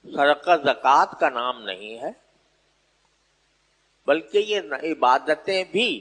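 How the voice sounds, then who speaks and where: A man preaching an Islamic sermon in a deliberate, humble and formal explanatory way, pausing between short phrases. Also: fairly high pitch, fairly bright tone. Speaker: Islamic preacher addressing listeners. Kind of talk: sermon, unscripted religious exposition. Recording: echoey hall with long reverb.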